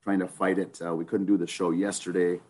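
A man with a hoarse, raspy voice from a chest cold, co-hosting a sports podcast and posing a trivia question. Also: deep voice, level tone, fairly quick, darkish tone. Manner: easygoing, poses trivia questions. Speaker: podcast co-host. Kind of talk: casual podcast banter.

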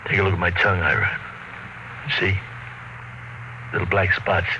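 An actor playing a big, brawling, exhausted expedition crewman, gruff and slangy, in a classic radio drama. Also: deep voice, fairly even intonation, normal speed, lightly acted. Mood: resigned. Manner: rough, slangy. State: worn out. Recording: noisy old radio recording.

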